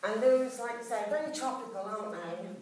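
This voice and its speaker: A woman's voice: on the high side, a little fast, a little mellow. A woman leads a flower arranging demonstration, chatting her way along with plenty of ums, restarts and asides. She sounds a fair way from the microphone. Her voice rings out in a very echoey hall with faint noise behind it. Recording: echoey hall, recorded from a distance.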